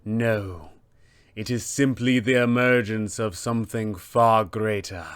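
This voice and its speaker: A man voicing a haughty, cold winter goddess villainess with slow, grandiose, menacing delivery. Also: lowish voice, fairly even intonation, fairly bright tone, clean tone, no echo. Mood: imperious menace.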